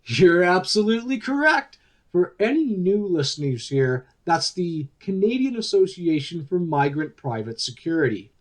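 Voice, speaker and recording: A man's voice: sits high, very animated, moderate pace. A man playing an upbeat, chatty news talk show host in a radio play, with an emphatic delivery. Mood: wry, chatty.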